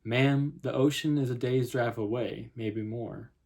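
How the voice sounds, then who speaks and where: Man reading as a measured, sceptical policeman. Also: medium pitch, darkish tone, lightly acted. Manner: measured, probing, polite. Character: patient but sceptical Texan policeman questioning a family.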